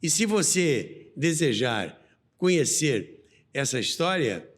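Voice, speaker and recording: A man's voice: on the high side, big pitch swings, takes it slowly. A Brazilian man hosting and narrating a scripted history documentary in a dramatic, nostalgic storytelling manner. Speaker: podcast host and narrator. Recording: long reverb, faint music behind.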